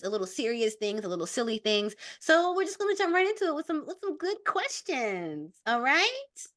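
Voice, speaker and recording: Young woman, podcast host, higher pitch, swooping lively intonation, bubbly and excitable, casual chat. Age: young adult.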